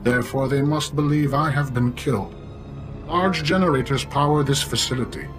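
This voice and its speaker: An actor playing a cold, calculating artificial intelligence in a video game, speaking formally and matter-of-factly. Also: male voice, mid-range voice, music in the background, natural voice. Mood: calm, logical. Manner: formal, measured, matter-of-fact. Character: cold, calculating knowledge-seeking artificial intelligence, male-voiced. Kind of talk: video game cutscene acting.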